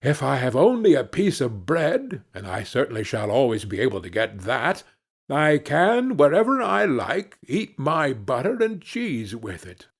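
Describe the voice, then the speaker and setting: A man's voice: mid-range voice, wildly swooping pitch, unhurried, slightly rough, full character voice. A naive young peasant man from a fairy tale, acted by a male narrator, earnest and simple. The voice swoops up and down in exclamations and takes its time over the words. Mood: pleased, hopeful.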